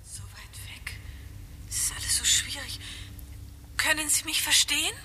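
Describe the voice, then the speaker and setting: A woman's voice: sits high, heavily acted. An actress playing a mysterious woman caller who whispers an urgent warning down a distant phone line in a radio drama. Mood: eerie warning. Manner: hushed, breathy, slow warning. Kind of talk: German radio drama acting.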